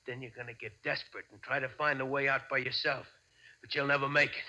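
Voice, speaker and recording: Vengeful doomed ex-con: mid-pitched, intensely edged voice, menacing taunts, old-time radio thriller. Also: male voice, little pitch movement, fast talker, sharp tone, dull audio. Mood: menacing, gloating. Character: vengeful dying male ex-convict, grimly taunting. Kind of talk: 1940s radio drama acting.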